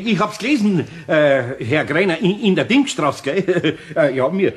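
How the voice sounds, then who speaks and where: A man's voice: fairly high pitch, sing-song, normal speed. A man playing a flustered, good-natured older craftsman with a Bavarian accent in a children's radio play, stammering and repeating himself. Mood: flustered, evasive. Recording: noisy old cassette radio play.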